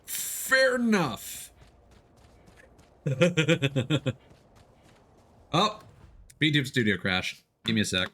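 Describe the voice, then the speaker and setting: A man doing casual live gaming commentary and chatting with viewers, excitable and quick to exclaim when surprised. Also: medium pitch. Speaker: video game streamer.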